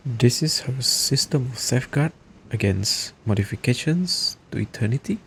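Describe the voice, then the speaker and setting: A man's voice: mid-range voice, expressive, normal speed, background noise. A man giving casual gameplay reaction commentary as he plays, mostly in brief interjections. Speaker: gamer, playthrough host.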